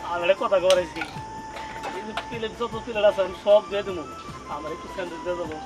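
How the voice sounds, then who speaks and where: Man acting a begging, penniless food-lover over loud music. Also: sits high, fast, close to an everyday voice, low-quality recording. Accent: Bangladeshi. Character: pleading, penniless glutton begging for sandwiches. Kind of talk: Bengali web comedy drama acting.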